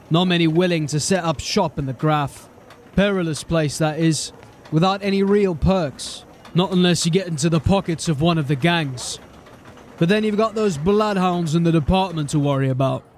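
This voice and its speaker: Male actor as a blunt, jaded city-watch sergeant, plainspoken, slightly high voice, audio drama. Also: slight background noise, close to an everyday voice. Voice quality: plain-spoken, grim and steady.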